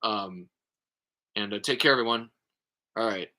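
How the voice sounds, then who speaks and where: A man talks off the cuff in his own vlog, stumbling through restarts and fillers as he works out his point. His voice has a bright, slightly tense edge, and he sounds a little tired. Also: lowish voice. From United States. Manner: halting, lots of fillers and restarts; explanatory, thinking aloud. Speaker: YouTube creator. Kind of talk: casual response vlog.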